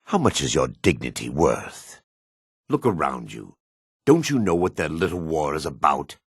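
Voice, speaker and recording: An actor playing a jaded, sardonic male dark elf in a fantasy video game, with a husky voice. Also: a bass voice, sing-song, moderate pace, dry recording, studio quality, exaggerated voice. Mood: bitter, sardonic. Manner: sardonic, disdainful. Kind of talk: video game character voice acting.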